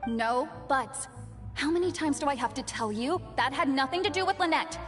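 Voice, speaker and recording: A woman's voice: sits high, lively intonation, normal speed. A woman voicing an earnest, modest young actress in a video game, with a sincere, polite delivery. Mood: angry. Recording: long reverb, music behind.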